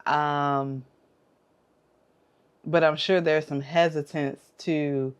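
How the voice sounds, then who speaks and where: A woman giving casual, reflective commentary as a reaction host, halting and thoughtful. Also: sits low, steady tone, clean tone.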